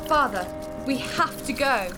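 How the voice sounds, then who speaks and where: A dutiful young princess of an alien race voiced by a woman, high in pitch and swinging widely with emotion. She speaks urgently and with command in a sci-fi audio play, music playing behind her. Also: expressive, unhurried. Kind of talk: sci-fi audio drama acting.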